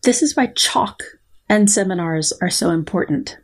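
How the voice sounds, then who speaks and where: A woman speaking as a podcast guest, measured and thoughtful as she reads and comments. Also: fairly low pitch, expressive. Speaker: guest biologist. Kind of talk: podcast conversation with dramatic readings.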